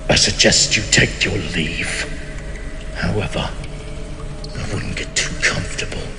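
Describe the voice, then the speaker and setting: A man playing a proud, betrayed immortal mage king in a fantasy audio drama, with theatrical, measured, imperious speech and a menacing, bitter temper. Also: sits low. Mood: cold menace. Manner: theatrical, measured, imperious, often dropping to a whisper. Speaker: voice actor. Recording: long cavernous reverb, music underneath.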